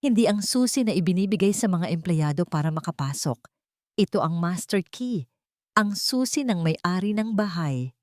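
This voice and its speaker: A woman's voice: lowish voice, animated, normal speed, darkish tone, no room sound, studio quality, natural voice. A woman voicing a devoted wealthy man in love, speaking in earnest, emotional declarations in a narrated romance.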